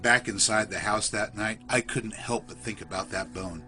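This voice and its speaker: A man reading a scripted first-person horror story in a literary, measured, suspenseful storytelling manner. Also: on the low side, pushed and bright, faint music behind. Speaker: storyteller, podcast narrator.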